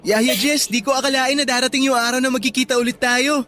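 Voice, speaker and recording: A man's voice: very high voice, steady tone, quick pace, pushed and bright. An actor playing a polite, grateful, affectionate teenage boy in an emotional radio drama. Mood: overjoyed surprise. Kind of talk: Tagalog radio drama acting.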